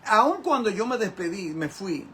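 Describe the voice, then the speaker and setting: A man's voice: high voice, big pitch swings, measured pace, bright, forceful tone, slight background noise. An older man teaching a Bible class as a pastor, in an emphatic, repetitive way with rhetorical questions to his listeners. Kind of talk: sermon-like Bible lesson.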